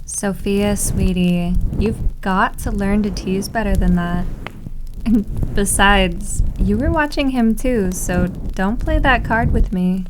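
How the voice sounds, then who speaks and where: A seductive, big-sisterly maid voiced by a woman coos in a medium-pitched voice. She is syrupy, teasing and quietly possessive, in a roleplay ASMR drama with clear background noise. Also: slow, close to an everyday voice. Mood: doting, teasing.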